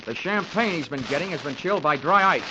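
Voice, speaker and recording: An actor playing a tough, wisecracking American investigator in a 1940s radio drama, brash and sardonic. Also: male voice, on the high side, expressive, fast talker, highs cut off, lightly acted. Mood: urgent, sardonic. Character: tough, wisecracking, hard-boiled male investigator. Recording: noisy vintage radio recording.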